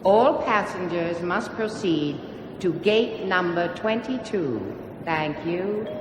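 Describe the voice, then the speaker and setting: A woman playing a formal, measured airport announcer in a radio drama, heard over a public address. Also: on the low side, animated, echoey room, in a large hall, noisy background.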